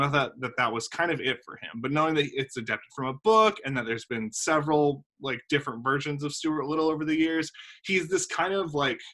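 A man's voice: mid-range voice, fairly bright tone. Chatty man on a video call. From South Carolina. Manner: chatty and self-deprecating, with many ums and uhs. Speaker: theater director and playwright. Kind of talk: casual video-call interview. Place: home room with windows. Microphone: video call laptop mic.

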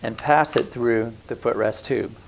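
A man in his thirties giving calm step-by-step product instructions on a lapel mic, recorded in a bare indoor room. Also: mid-range voice, a little slow, creaky, slight background noise, low-quality recording. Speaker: product demonstrator. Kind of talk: scripted instructional voice-over.

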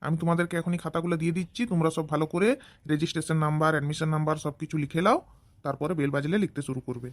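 An actor playing a brisk male schoolteacher supervising an exam in a comedy cartoon, giving authoritative instructions. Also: medium pitch, flat delivery, lightly acted. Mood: brisk, instructing.

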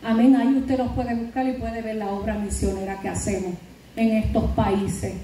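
High-voiced woman pastor preaching in echoing hall. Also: level tone, normal speed, warm tone, a little hiss or hum. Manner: fervent preaching peppered with hallelujahs. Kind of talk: sermon. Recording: very echoey hall, voice from far off.

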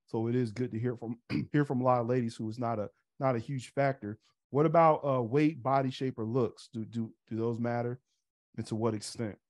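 Relaxed man hosting an online meetup. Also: medium pitch, brisk, no room sound, studio quality. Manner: casual, easygoing, restarts and uhs. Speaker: host. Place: home room indoors.

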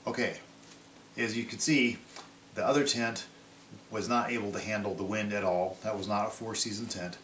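A man in his thirties casually showing his outdoor gear from a table in his living room, pausing often with ums and restarting his sentences. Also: on the low side, fairly even intonation, reverberant, far from the mic, heavy background noise. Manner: halting, many ums and restarts.

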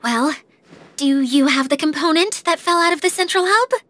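A woman's voice: high voice, normal speed, a bit bright, dry recording. A woman voicing a bubbly young female game character, perky and theatrical. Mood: playful. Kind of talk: video game voice acting.

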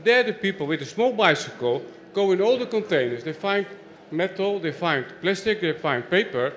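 A man's voice: high voice, expressive, moderate pace, a bit bright. Chatty dutch man speaking from the floor. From Netherlands. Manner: chatty, loose phrasing. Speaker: audience member. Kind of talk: spontaneous remarks from the floor. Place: large indoor event hall. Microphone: handheld mic.

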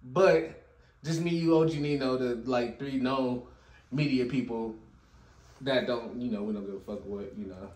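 A man's voice: medium pitch, normal speed, darkish tone, echoey room. A man in his thirties hosting a casual podcast interview, laid-back and trailing off into mumbles, in a living room. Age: 30s. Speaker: podcast host. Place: living room couch, indoors.

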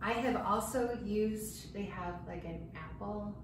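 A woman in her thirties talks through a recipe while she cooks, relaxed and chatty. She is picked up from across a home kitchen, so her voice sounds distant. Strong room echo and steady background noise sit under her. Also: mid-range voice, a little slow. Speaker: cooking demonstrator. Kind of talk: casual cooking demonstration. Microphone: far camera mic. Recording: echoey kitchen, distant mic, noisy.

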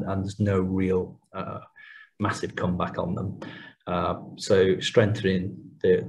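A man's voice: sits low, low-quality recording. A middle-aged man speaking as a panelist on an online video call, rambling loosely with many fillers. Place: small room indoors.

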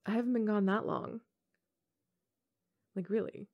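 Casting: a woman to play a college-age tomboy gamer girl for intimate ASMR roleplay; teasing, slangy, casual, with noticeable vocal fry. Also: mid-range voice, fairly even intonation, unhurried, muffled recording. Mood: playful, relaxed. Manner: casual, slangy, teasing, frequent chuckles and hesitations.

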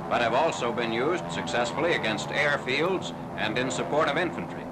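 Old 40s army newsreel guy narrating, formal factual read, medium pitch, noisy recording. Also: lively intonation, moderate pace. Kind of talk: 1940s military newsreel scripted narration. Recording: noisy 1940s newsreel soundtrack.

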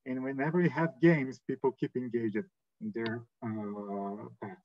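A man's voice: medium pitch, fairly even intonation, unhurried. A man speaking on a webinar panel over a video call, earnest and repeating words, tagging sentences with "right?". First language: Portuguese. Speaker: legal and compliance counsel. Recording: dull lo-fi video call audio.

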